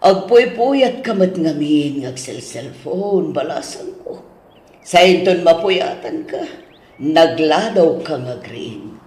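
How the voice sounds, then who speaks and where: A man playing a fussy, nagging older mother-in-law in an Ilocano radio drama, with an Ilocano accent and drawn-out, chiding delivery. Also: a little high, lively intonation, a little mellow. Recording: roomy, echoing studio drama sound.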